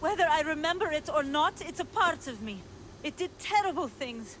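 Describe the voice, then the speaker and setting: A woman voicing an anguished, earnest young woman with a dark hidden side, in a video game. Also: high-pitched, animated, moderate pace, slight background noise. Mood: guilt-ridden. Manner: earnest, emotional. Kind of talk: video game cutscene acting.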